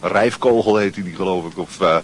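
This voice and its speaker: A man's voice: low voice, moderate pace, a little hiss or hum, close to an everyday voice. A man playing a cocky, evasive teenage school friend with an affected nonchalance in a radio drama.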